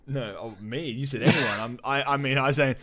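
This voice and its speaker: A man's voice: mid-range voice, fairly quick, pushed and bright. A man with an Australian accent hosting a podcast in a blokey, informal, sweary chat. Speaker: podcast host.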